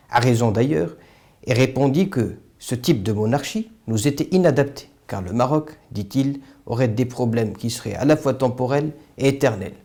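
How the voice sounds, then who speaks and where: A man's voice: mid-range voice, dark tone. A man delivering a scripted political opinion monologue in a formal, literary, rhetorical manner. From Morocco. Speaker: political commentator, video host.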